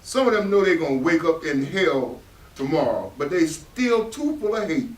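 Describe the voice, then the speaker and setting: A man playing a proud, gruff working-class father, carrying old grief, in a staged play reading. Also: a little high, big pitch swings, normal speed. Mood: bitter, grave. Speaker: actor in readers theatre. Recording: echoey room from far off.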